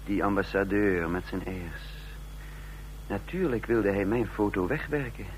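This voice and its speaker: Radio drama role: male actor as self-assured intelligence officer, low voice, clipped and commanding, faint noise and hum. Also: a little slow, lightly acted. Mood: wry, confiding. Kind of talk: Dutch radio drama acting with first-person narration.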